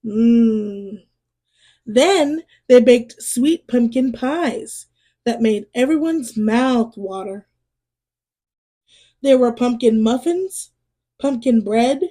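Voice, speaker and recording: A woman reading a children's storybook aloud in an expressive way, as a storyteller speaking to young listeners. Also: on the high side, slow pace. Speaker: storyteller and read-aloud host.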